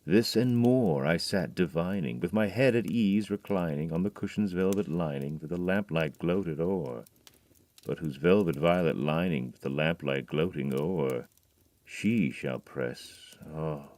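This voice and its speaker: A man voice acting a grieving, haunted man in an audio drama, reading dramatic verse that swings from hushed brooding to loud, pleading outbursts. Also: extremely low pitch, a little slow.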